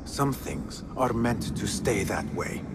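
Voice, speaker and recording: A grave, slow-spoken young assassin. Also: male voice, on the low side, lively intonation, heavy background noise. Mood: stern. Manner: grave, deliberate, accusing. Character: brooding young male assassin, righteous and grave. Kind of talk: dramatic video game acting.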